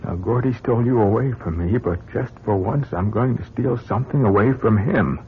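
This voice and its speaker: An actor playing a bitter, jealous, desperate astronaut with a rough, breathy voice in a radio melodrama. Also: male voice, mid-range voice, brisk, a little hiss or hum, lightly acted. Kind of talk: melodramatic radio drama acting. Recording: muffled lo-fi old radio recording.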